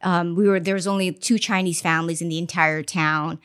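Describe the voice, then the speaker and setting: Reflective woman podcast guest, lowish voice. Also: steady tone, brisk, fairly bright tone, clean tone. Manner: reflective, candid, many ums. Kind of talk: conversational interview. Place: studio interview.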